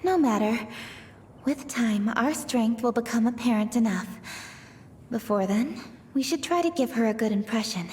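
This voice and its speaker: A woman plays a composed, calculating noble leader in a fantasy game. Her voice is a bit high, polite and self-assured. It rings with long reverb over a faint rumble. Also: lively intonation, normal speed, a little mellow, lightly acted. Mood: confident, scheming. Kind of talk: scripted game dialogue.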